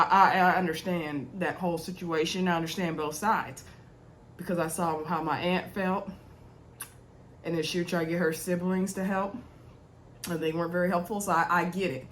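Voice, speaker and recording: Congested young woman doing a chatty tv recap, kinda low voice, lil bit of background hiss. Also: steady tone, fairly quick. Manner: chatty, addresses viewers as y'all, many ums. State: sick and congested, sore throat. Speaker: TV recap host. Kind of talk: casual chat recapping a reality episode.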